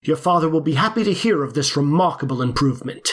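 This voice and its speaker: An actor playing a formal, calm doctor who speaks in careful, measured sentences. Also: male voice, fairly high pitch. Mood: reassuring. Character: calm, measured country doctor, middle-aged man.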